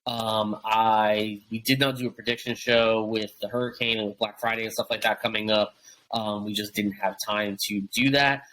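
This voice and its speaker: A man's voice: fairly low pitch, fairly even intonation, normal speed, a bit bright, rough recording. A young man co-hosting a wrestling podcast, chatting casually and rambling with lots of fillers and restarts. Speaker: podcast co-host.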